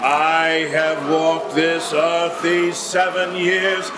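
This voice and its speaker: Man as solemn dead businessman's ghost, high-pitched, very unhurried, theatrical, mournful, radio drama, echoing room. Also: completely flat delivery, music in the background. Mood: solemn warning. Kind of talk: live radio play acting. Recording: roomy live stage with clear noise.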